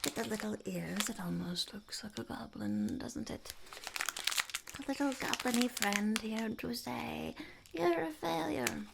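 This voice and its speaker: A woman voicing a blunt, smug female goblin entrepreneur in an unhurried, breathy, gravelly half-whisper for an ASMR roleplay. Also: on the high side, very noisy. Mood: fond, mocking. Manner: speaks of herself in third person, unhurried, blunt. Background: crinkling wrappers and clicks.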